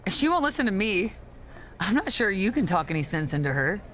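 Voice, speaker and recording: A woman's voice: mid-range voice, big pitch swings, a little fast, a bit bright, slight background noise. A woman playing a pompous, entitled female wrestling queen in a video game cutscene, condescending and easily indignant. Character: haughty, self-crowned female wrestling queen, entitled and scornful.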